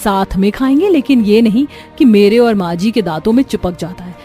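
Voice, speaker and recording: A woman's voice: mid-range voice, brisk, mellow, soft background music, lightly acted. A woman voicing a bossy, spiteful elder sister-in-law in a cartoon story, haughty and scolding. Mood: sarcastic, scolding. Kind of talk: Hindi cartoon story dialogue.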